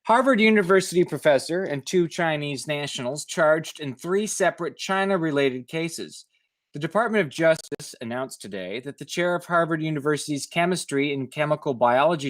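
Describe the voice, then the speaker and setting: A man reading news stories aloud in a measured way, with informal commentary. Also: a little high, lively intonation, fairly bright tone, no echo.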